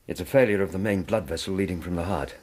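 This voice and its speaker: An actor playing a calm, frank doctor in a radio drama, speaking in a measured, clinical manner. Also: male voice, low voice. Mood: sober. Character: calm, frank British doctor giving a grim diagnosis.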